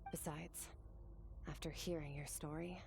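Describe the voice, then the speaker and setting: An actress playing a stoic young female warrior in a video game, resolute and analytical, speaking in a cool, measured, formal way. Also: sits low, steady tone, gravelly, background noise. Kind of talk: dramatic video game voice acting.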